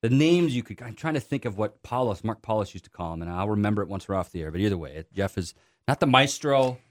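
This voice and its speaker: A man's voice: fairly low pitch, animated, fast. An American man hosting a casual podcast chat, eagerly jumping in with agreement. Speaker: podcast host.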